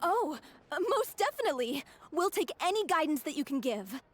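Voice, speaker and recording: A woman's voice: high-pitched, big pitch swings, normal speed, a bit bright, slight background noise. An actress voicing an earnest young woman of a theater troupe in a game. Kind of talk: game cutscene voice acting.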